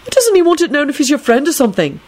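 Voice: sits high, very animated, a little fast, voice pushed up. A reader voicing an exasperated, sarcastic young male wizard in a storybook, scolding and emphatic. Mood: stern, demanding.

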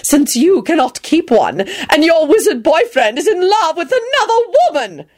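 A woman narrator voicing an imperious, theatrical old grandmother in an audiobook story, supercilious and shrill. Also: very animated, normal speed, fairly bright tone. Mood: vicious, exultant. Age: older. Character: imperious, vicious, exultant old grandmother, shrieking and singing.

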